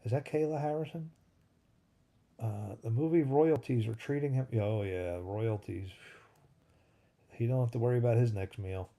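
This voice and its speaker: A man's voice: mid-range voice, moderate pace, long pauses, warm tone. A man in his fifties hosting a live stream, chatting casually and rambling as he answers viewers' comments. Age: 50s. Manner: rambling, thinks aloud, answers chat comments. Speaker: livestream host.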